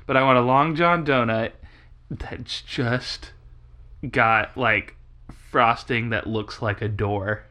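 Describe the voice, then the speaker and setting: Teasing woman with a very low voice. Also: fairly even intonation, slow pace, pushed and bright. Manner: teasing, rambling, trails off mid-sentence. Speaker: podcast co-host. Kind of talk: casual chat.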